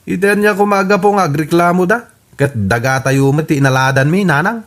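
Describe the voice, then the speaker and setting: A man's voice: a little high, fairly quick, fairly bright tone, natural voice. A man with an Ilocano accent playing a worried adult son in a radio comedy drama. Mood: reproachful.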